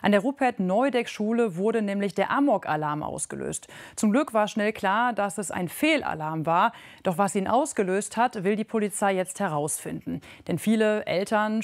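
Female anchor, conversational, mid pitch, studio read. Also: moderate pace, hardly any pauses. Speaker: news anchor. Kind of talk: news presenting.

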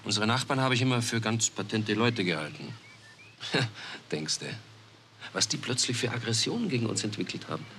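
A man's voice: lowish voice, animated, moderate pace, pushed and bright. A resigned, bitter adoptive father. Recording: noticeable background noise.

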